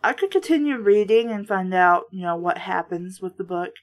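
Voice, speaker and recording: A woman's voice: medium pitch, expressive, normal speed. A woman hosting a casual book read-aloud with blunt, sardonic, sometimes profane running commentary. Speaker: book reviewer and host.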